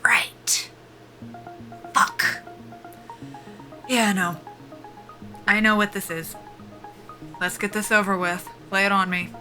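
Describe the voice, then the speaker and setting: A woman's voice: mid-range voice, steady tone, slow, long pauses, sharp tone, faint music behind. A woman acting a nervy, foul-mouthed young soldier in a sci-fi audio drama, wry and defiant in a casual, joking way. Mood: resigned, defensive. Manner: sardonic, casual, sweary, joking under nerves.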